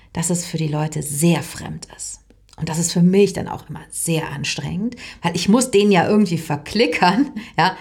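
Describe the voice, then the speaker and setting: Calm, breathy woman voice teacher. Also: lowish voice. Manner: calm, thoughtful, with soft whispered stretches. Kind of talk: reflective explanatory monologue.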